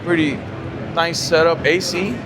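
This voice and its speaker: Guy walking an expo, voice going hoarse, kinda high, loud noisy hall behind. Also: level tone, moderate pace. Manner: chatty, enthusiastic, many fillers. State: losing his voice. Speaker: vlogger touring an expo. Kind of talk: casual walking tour commentary. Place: indoor expo hall floor. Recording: loud background noise.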